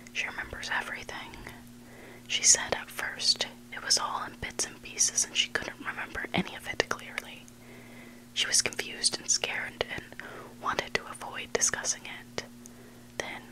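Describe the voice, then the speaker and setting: An ASMR host whispering scary stories in a hushed, unvoiced voice, close to the microphone. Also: rough recording. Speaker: ASMR host reading listener-submitted stories. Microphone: close microphone made for headphone listening.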